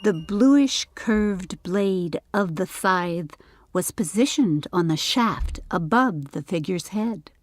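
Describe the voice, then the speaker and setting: A woman narrating a scripted story for an audiobook in a measured, literary reading style. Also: mid-range voice, lively intonation, dry recording.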